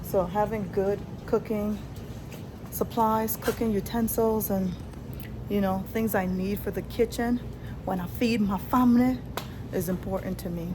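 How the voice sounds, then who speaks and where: A woman's voice: medium pitch, steady tone, measured pace. Woman from liberia chatting to her viewers while she shops, lots of store noise behind her. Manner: chatty, talks straight to viewers, says "y'all" often. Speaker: family vlogger. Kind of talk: casual shopping vlog. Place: inside a large store. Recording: noisy store background.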